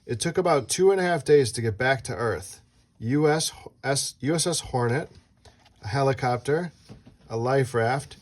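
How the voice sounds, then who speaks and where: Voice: mid-range voice, normal speed. Someone reading a children's book aloud as host, playfully asking a child questions and adding casual asides.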